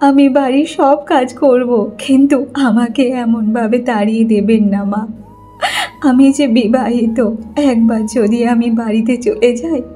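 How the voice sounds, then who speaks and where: A woman's voice: fairly high pitch, fairly even intonation, normal speed, mellow, lightly acted. A woman voicing a meek young bride from a poor family in a cartoon moral story, submissive and plaintive. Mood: pleading.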